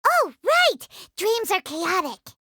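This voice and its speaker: A woman voicing a small, excitable, childlike fairy companion who exclaims her lines in a video game. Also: very high-pitched, slow, no echo, clean studio sound, exaggerated voice. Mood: curious, eager. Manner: exclaiming, refers to self in third person. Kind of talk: animated video game character acting.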